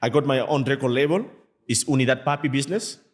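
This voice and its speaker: A young man from Mali speaking English as a second language, a music artist chatting as a podcast guest, animated and acting out the people he quotes. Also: mid-range voice. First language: a Malian language. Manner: fast, animated talk in non-native English, acts out quoted people. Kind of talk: casual podcast chat.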